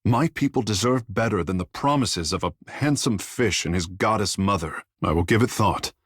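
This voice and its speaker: Vain young demigod artist for a fantasy game, deep n husky, all lofty and poetic. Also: male voice, lively intonation, normal speed, dry recording, studio quality. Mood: earnest, reflective. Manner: grandiose, self-regarding, lyrical and formal. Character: vain, theatrical young male demigod water shaper and artist. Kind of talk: video game character dialogue.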